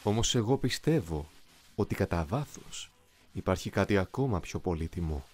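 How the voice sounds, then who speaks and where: A man playing a young, eloquent, coolly ambitious soldier in an anime fan dub, speaking in a formal, measured, philosophical way. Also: low voice, expressive, a little mellow, a little hiss or hum. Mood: grave, philosophical. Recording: music behind the voice.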